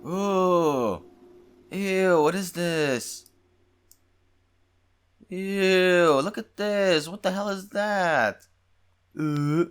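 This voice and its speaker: A man's voice: high-pitched, drawn-out words, pauses often, smooth. A man hosting a gaming video, giving casual, slangy reaction commentary with muttered asides.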